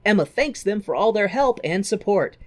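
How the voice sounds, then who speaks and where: Animated woman, playful and theatrical. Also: medium pitch, normal speed. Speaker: podcast co-host. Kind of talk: humorous plot recap in casual chat.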